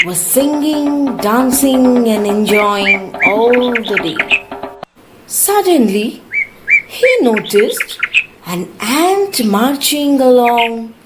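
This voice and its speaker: A woman tells a story to young children at an extremely slow pace. Her voice is fairly high, and loud noise with birds and crickets runs behind it. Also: very animated.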